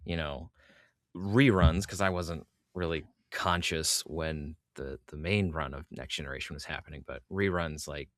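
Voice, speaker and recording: A man's voice: a bass voice, normal speed, fairly bright tone, dry recording, studio quality. A man hosting a podcast, chatting casually as he interviews a guest.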